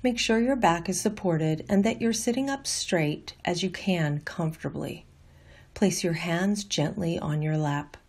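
A woman with a mellow, fairly low voice leads a guided meditation. She reads her scripted instructions gently and calmly. Her delivery is unhurried, like a teacher walking listeners through each step.

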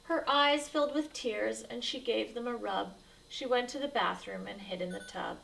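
A woman's voice: on the high side, normal speed, vocal fry, a bit bright, far from the mic, a little hiss or hum, poor audio. A woman reading a rhyming picture book aloud to children as a storyteller, with dramatic, emphatic delivery.

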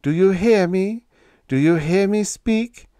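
Sci-fi story officer male reader acting: young, clever and calm, lifted pitch, soothing and measured, quietly in command. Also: no room sound, studio quality. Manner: soothing, deliberate, polite. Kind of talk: dramatised science fiction story reading.

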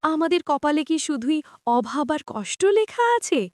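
A woman's voice: sits high, lively intonation, moderate pace. A woman voicing a poor, worried village wife and mother in a cartoon, plaintive, with birdsong behind her. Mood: distressed. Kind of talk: cartoon voice acting. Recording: clear background noise with chirping birdsong.

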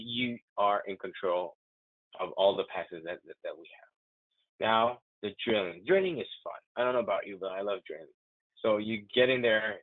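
A man walking through a live software demo, enthusiastic and chatty, often restarting his sentences. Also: low voice, dry recording. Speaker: software demonstrator. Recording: tinny phone-like, dry audio.

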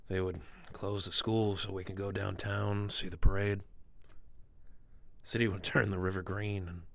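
Deep, gravelly, grave lawman played by a man. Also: no echo. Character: weary, principled male lawman, grave and brooding. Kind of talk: noir radio drama acting.

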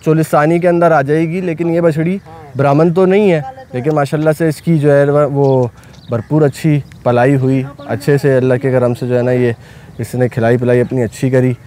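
A young man with a Pakistani Urdu accent talks from behind the camera in an outdoor farm yard. His voice sits at a middle pitch, and he speaks with eager, proud enthusiasm, often repeating blessings. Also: steady tone, moderate pace, warm tone. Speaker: cattle farm owner. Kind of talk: casual vlog narration.

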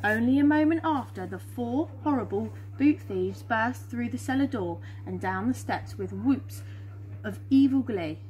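An English woman in her thirties reads a children's story aloud. Her voice sits a little high. She is recorded outdoors, with a faint hum behind her. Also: expressive, measured pace, darkish tone, poor audio. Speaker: storyteller reading to schoolchildren. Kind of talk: reading a children's picture book aloud. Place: small fenced garden, outdoors. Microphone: camera or phone a short distance away.

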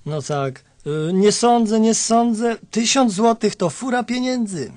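Casting: stingy male passer-by, high-pitched and swooping, self-important, radio comedy. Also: animated, unhurried, a little mellow, no room sound, clean studio sound. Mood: haggling. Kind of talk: satirical radio sketch acting.

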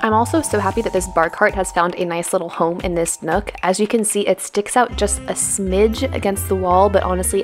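A young woman in her twenties, chatting casually and asking her viewers questions while narrating a clean-with-me video at home. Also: on the low side. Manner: chatty, upbeat, asks viewers many questions. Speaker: lifestyle vlogger. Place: home, kitchen and bathroom. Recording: narration over loud background music.

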